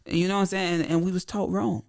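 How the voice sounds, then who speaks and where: Male podcast guest, high voice, blunt and repetitive for emphasis. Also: little pitch movement, fast, creaky, darkish tone. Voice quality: high-pitched voice. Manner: blunt, repeats his points for emphasis. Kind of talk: casual podcast conversation.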